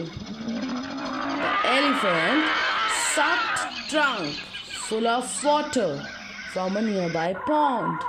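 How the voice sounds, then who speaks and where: A woman teacher telling a moral story to young children, speaking deliberately and addressing her young listeners directly. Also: fairly high pitch, background noise.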